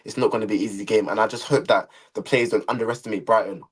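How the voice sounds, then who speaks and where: A man joins a live football chat as a guest, his voice a little husky. He talks in a relaxed but opinionated way, like a fan arguing his case. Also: lowish voice, racing.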